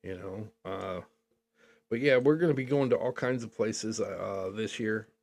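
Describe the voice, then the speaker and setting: Laid-back older man, low voice. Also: a little slow, creaky, darkish tone. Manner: rambling, jokey, laughs often. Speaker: podcast co-host. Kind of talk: casual chat.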